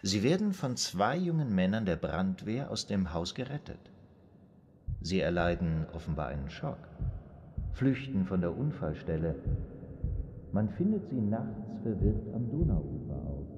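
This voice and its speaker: A patient, methodical psychoanalyst in a period radio drama. His man's voice is low-pitched and formal. He questions and sums up in a calm, measured way. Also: husky, dull audio, full character voice. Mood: measured, probing. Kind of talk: German radio drama acting.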